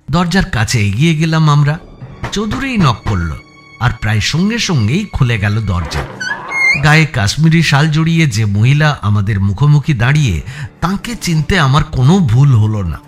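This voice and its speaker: A man narrating a suspense audio story as its protagonist, in a measured, dramatic storytelling style. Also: mid-range voice. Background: background music.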